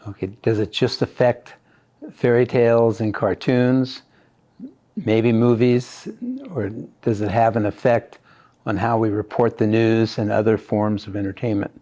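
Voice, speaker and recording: A man's voice: lowish voice, flat delivery, unhurried, dark tone. A man acting as interviewer, putting questions to a guest in a conversational way.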